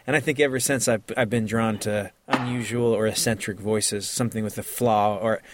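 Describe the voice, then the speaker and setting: A man's voice: on the low side, fairly even intonation, moderate pace, noisy background. A man co-hosting a casual chat, with a dry, wry and teasing way of talking. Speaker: podcast co-host.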